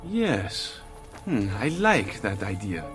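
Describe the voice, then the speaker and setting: An actor playing a shrewd, cordial Italian thieves' guild master in a video game, measured and sly. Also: male voice, lowish voice, big pitch swings, fairly bright tone, soft background music, exaggerated voice. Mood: thoughtful. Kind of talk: video game cutscene acting.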